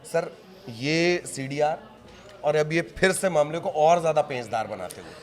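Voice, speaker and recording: A man's voice: fairly high pitch, measured pace. A man anchoring a live news discussion, putting questions and observations to a colleague.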